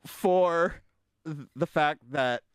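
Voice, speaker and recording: A man's voice: on the high side, takes it slowly, smooth, no echo. A man from the US South hosting a podcast, teasing his guest in casual chat and laughing often. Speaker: podcast host.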